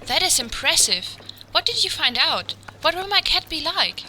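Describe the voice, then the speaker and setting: A woman's voice: very high voice, very animated, normal speed, pushed and bright, slight background noise, exaggerated voice, rough recording. A woman playing a wide-eyed, earnest and easily impressed astrology customer in a comedy podcast sketch. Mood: amazed.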